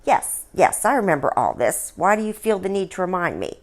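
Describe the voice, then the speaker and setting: A woman voicing a stern, drily amused old queen in a satirical storybook tale, with a sharp, imperious and wry manner. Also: fairly low pitch, very animated, a little fast. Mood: impatient. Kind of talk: storybook dialogue reading.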